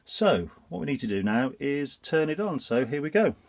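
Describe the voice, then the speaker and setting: A man's voice: fairly high pitch, very animated, normal speed, very noisy. A man with a blocked nose from a cold, chatting through a step-by-step DIY job on his narrowboat. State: congested with a cold. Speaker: vlogger. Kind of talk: casual DIY vlog.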